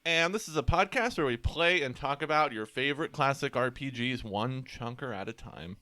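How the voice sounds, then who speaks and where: A man's voice: medium pitch, expressive, normal speed, bright, forceful tone, no echo. A man hosting a podcast in a casual, chatty style. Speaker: podcast host.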